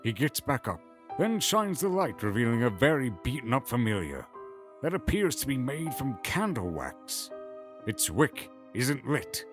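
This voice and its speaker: A man reads scripted fantasy narration. His pitch is average but moves a great deal, giving the story an animated shape. Faint music plays behind him. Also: measured pace.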